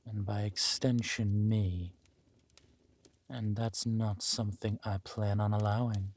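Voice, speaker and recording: A man playing a ruthless, vengeful warlord in an audio roleplay, speaking deliberately and with menace. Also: on the low side, steady tone, darkish tone. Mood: intimate, brooding. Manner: slow, deliberate, menacing delivery. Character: ruthless villain warlord lord, vengeful, possessive lover. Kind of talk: dramatic villain roleplay acting.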